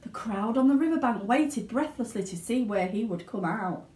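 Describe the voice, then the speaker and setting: Expressive woman storyteller, lively and high. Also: normal speed. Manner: dramatic, expressive. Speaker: storybook reader, school teaching assistant. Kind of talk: expressive children's story narration. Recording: roomy indoor echo.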